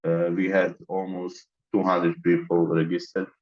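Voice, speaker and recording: A man from the Balkans speaks in a very deep voice, laying out his plans methodically as an interview guest. His audio is dull and lo-fi. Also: completely flat delivery, unhurried, a little mellow. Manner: methodical, explains in long lists. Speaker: interview guest, mentorship organizer. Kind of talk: conversational interview.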